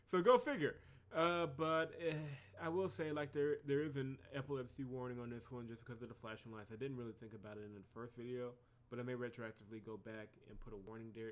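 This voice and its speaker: A male let's-play host with an average-pitched voice. He talks casually and rambles as he goes, in a self-deprecating way, like someone commentating on a game while playing it. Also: a bit bright. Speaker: video game playthrough host.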